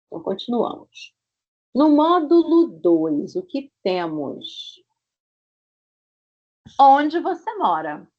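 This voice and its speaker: A woman's voice: high voice, big pitch swings, slow pace, long pauses, darkish tone. Carioca woman, online Portuguese teacher, stretches example words, dull call audio. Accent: Rio de Janeiro Portuguese. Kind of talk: online language class. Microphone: video call. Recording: muffled video call audio.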